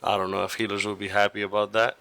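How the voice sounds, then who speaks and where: A hoarse man hosting a gaming channel, giving his opinion casually, rambling and restarting often and swearing now and then. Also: lowish voice, level tone, fast talker, pushed and bright, no room sound. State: hoarse, partly lost voice.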